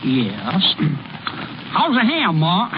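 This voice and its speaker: An actor playing a lazy, cocky, food-loving small-town ballplayer in a folksy, boastful, old radio comedy style. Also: male voice, on the high side, huge pitch swings, heavily acted. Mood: nonchalant. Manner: folksy, unhurried, boastful, ungrammatical. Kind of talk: 1930s-40s radio comedy acting. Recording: muffled, noisy old radio broadcast.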